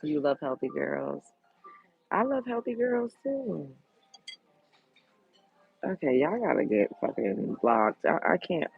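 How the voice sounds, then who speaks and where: A woman hosting a casual livestream chat, reading out comments in a candid, blunt way, with a deep, sultry voice. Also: very animated, slow, creaky, long pauses, husky, narrow, tinny audio, faint music behind. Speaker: host.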